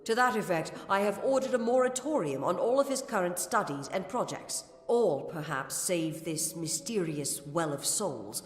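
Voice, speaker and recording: A woman playing a stern, formal gnome Templar commander in a fantasy game, speaking with measured authority. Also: lowish voice, lively intonation, close to an everyday voice. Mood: stern, businesslike. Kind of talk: scripted fantasy game dialogue. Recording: echoey reverberant hall.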